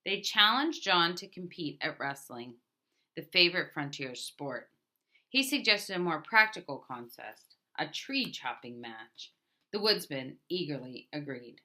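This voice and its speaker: A woman reading a picture book aloud as a storyteller, in a deliberate manner with emphatic openings to her phrases. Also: deep voice, sing-song, sharp tone. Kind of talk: scripted picture book narration.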